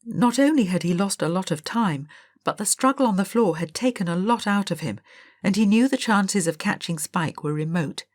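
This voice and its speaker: A woman reading an audiobook story aloud as narrator, in a measured, expressive storytelling manner. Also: mid-range voice, no echo, studio quality.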